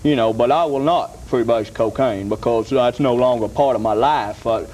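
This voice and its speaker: A man's voice: mid-range voice, moderate pace, darkish tone. A man giving a rambling comic testimonial in a folksy, repetitive, emphatic way.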